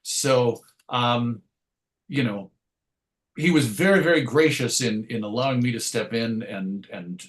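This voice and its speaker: A man's voice: mid-range voice, measured pace. A man answering as an interview guest in a conversational way, thoughtful and inclined to explain things through analogies. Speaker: interview guest, writer and radio host.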